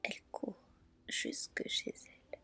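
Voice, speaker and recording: A woman reading folk tales as ASMR in a soft, breathy whisper, telling them gently and carefully. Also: slow, faint music behind. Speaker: ASMR storyteller, channel host.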